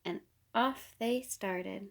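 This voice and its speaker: A woman reading a children's picture book aloud as a storyteller, unhurried and with deliberate pauses. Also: mid-range voice, vocal fry. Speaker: storybook reader.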